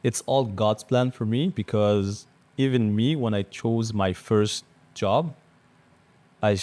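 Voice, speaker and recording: A man's voice: fairly low pitch, slow pace, a little mellow. A man hosting a podcast, speaking in a casual interview style. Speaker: podcast host.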